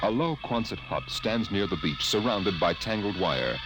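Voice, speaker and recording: A low-pitched male narrator with a measured, ominous delivery. A loud music bed plays under his voice on this old radio recording. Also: lively intonation. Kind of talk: scripted dramatic radio narration.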